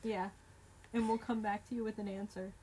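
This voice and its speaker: A woman co-hosting a casual podcast, teasing her friend and tossing out quick jokey comebacks. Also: mid-range voice, flat delivery, mellow, husky, low-quality recording. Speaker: podcast co-host. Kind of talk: casual chat.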